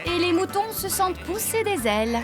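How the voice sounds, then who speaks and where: A woman playing a caring, sensible farmer in charge, expressive and motherly, in a children's cartoon. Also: very high voice, normal speed, over loud music. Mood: concerned. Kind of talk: children's cartoon dub acting.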